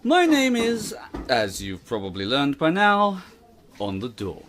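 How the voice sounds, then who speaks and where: A man's voice: on the high side, wildly swooping pitch, measured pace, fairly bright tone, slight background noise, exaggerated voice. A man playing a stern, eccentric college teacher in an audio drama, theatrical and dryly ominous. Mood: dry, formal.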